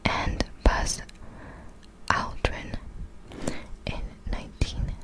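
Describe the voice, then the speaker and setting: Girl whispering facts for asmr, really slow, breathy, kinda halting n apologetic. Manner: halting, apologetic reader, stumbles over hard words. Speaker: ASMR video creator.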